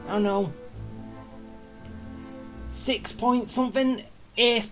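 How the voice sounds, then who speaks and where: Unhurried woman chatting over a game. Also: on the high side, fairly even intonation. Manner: chatty, musing aloud. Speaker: game streamer. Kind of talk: casual gameplay commentary. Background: game music.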